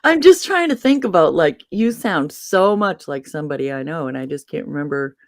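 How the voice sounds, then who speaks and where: A woman's voice: deep voice, animated, fairly quick, dry recording, studio quality. A woman from Massachusetts chatting casually as host, rambling and losing her train of thought. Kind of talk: casual chat.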